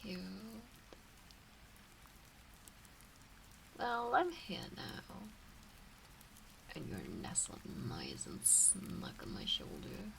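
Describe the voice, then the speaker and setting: Woman as kitsune witch mistress for ASMR roleplay: motherly, teasing, very slow whispery cooing, creaky edges. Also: mid-range voice, wildly swooping pitch, fairly bright tone, a little hiss or hum, exaggerated voice, low-quality recording. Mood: doting, playful.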